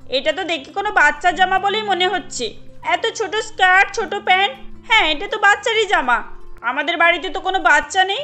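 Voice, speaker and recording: A woman's voice: very high-pitched, a little fast, soft background music. A woman voicing a stern, old-fashioned, nagging middle-aged mother-in-law in a family cartoon. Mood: scolding, indignant. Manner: sharp, nagging, indignant questioning. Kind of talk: cartoon voice acting.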